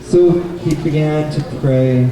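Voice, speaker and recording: A man's voice: fairly high pitch, steady tone, takes it slowly, mellow. A man telling a story live to children at a puppet show, in short, simple explanatory phrases. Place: public event in a bookstore, mic at a distance. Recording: echoey, distant, noisy live event recording.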